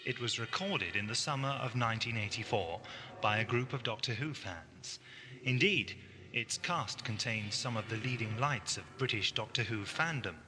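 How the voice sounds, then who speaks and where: A man's voice: lowish voice, lively intonation, moderate pace. A man reading a scripted introduction as an announcer. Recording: faint hiss on old cassette.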